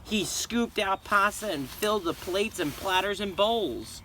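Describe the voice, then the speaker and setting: A man reading a children's story aloud in an expressive, dramatic way. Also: high-pitched, unhurried, a little hiss or hum, rough recording. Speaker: storybook reader. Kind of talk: children's read-aloud narration.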